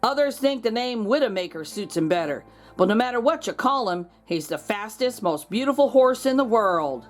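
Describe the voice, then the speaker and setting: Animated, higher-pitched woman reading a storybook. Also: moderate pace, faint music behind. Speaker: host reading a children's picture book aloud. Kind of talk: animated storybook read-aloud for children.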